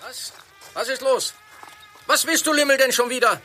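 A man's voice: extremely high pitch, moderate pace, pushed and bright, soft background music, full character voice. An actor playing an irritable, blustering grown man, a villain in a German audio drama. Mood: indignant. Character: irritable adult male villain, flustered and blustering.

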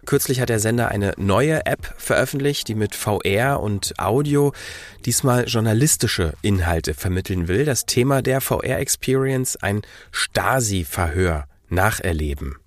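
Guy hosting a podcast, lowish voice, explaining stuff and asking questions. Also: expressive, measured pace, dry recording. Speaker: podcast host. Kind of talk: podcast presenting and interviewing.

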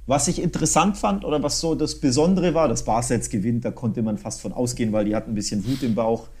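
A man hosting a football podcast, talking casually and colloquially with clear opinions. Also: medium pitch, fast talker, a little mellow. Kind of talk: casual sports discussion.

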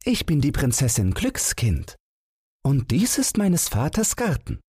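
A man's voice: medium pitch, huge pitch swings, measured pace, a little mellow, breathy edge, no echo, studio quality, pitched above the performer's own voice, exaggerated voice. A man voicing a cheerful, curious young princess in a fairy tale audiobook, chatty, playful and artless. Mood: cheerful, carefree.

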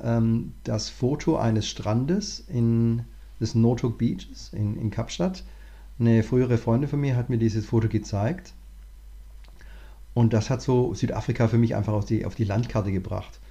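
A man, a management consultant, talking through his career in a relaxed interview, thinking aloud with many restarts. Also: mid-range voice, a little slow. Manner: thoughtful, many fillers and restarts. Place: lives in Cape Town, remote interview.

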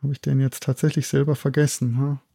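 A man's voice: mid-range voice, little pitch movement, a little fast, creaky, mellow, breathy edge, no echo. A middle-aged man from Germany chatting as a professor guest in a casual interview, thinking aloud as he goes.

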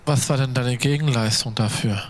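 A man acts out a controlling male vampire in an audio drama. His mid-range voice is stern and deliberate. Each phrase comes out curt, like a command. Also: medium pitch, a little hiss or hum, lightly acted. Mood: suspicious.

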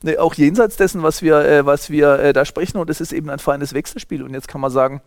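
Man, 50s, from Germany, sociologist guest, lecturing, hesitant restarts, slightly high pitch, dry studio sound. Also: quick pace, clean tone, dry recording. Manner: lecturing, many fillers and restarts. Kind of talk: thoughtful explanatory interview talk.

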